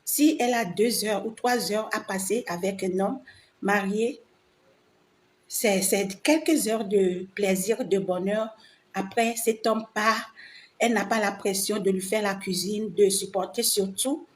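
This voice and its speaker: A woman's voice: mid-range voice, unhurried. A woman, a French speaker, giving an informal opinion monologue to other women, emphatic and repeating phrases for emphasis. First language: not French. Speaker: vlogger. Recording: noticeable background ambient noise.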